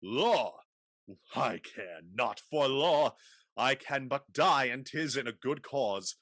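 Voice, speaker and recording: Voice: mid-range voice, animated, slow pace, fairly bright tone, no echo, clean studio sound. An actor playing a swaggering, declamatory male suitor, a blustering knight in a Restoration comedy. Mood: swaggering defiance. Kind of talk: theatrical Restoration comedy reading.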